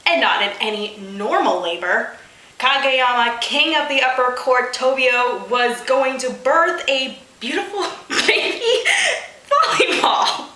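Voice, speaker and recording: A woman hosts a comedic read-aloud in a slightly high, very bright voice. She takes her time, sounding amused and exasperated as she drops in asides. The room around her is noticeably echoey. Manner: amused, breaks into giggles while reading, exasperated asides. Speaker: host of a fanfiction reading show. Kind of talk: comedic dramatic reading with asides. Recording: roomy, echoing room sound.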